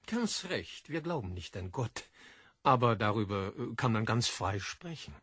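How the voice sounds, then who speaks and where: A man's voice: fairly low pitch, sing-song, measured pace, fairly bright tone, breathy edge, no echo, clean studio sound, full character voice. An actor playing a learned, self-assured male editor in an audio drama, lecturing with assurance.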